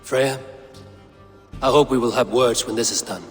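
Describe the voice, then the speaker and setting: A man's voice: mid-range voice, moderate pace, close to an everyday voice. Man acting a commanding Norse god-king in echoing halls. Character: commanding, stern Norse high god and ruler. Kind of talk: video game cutscene acting. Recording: long reverb, music behind.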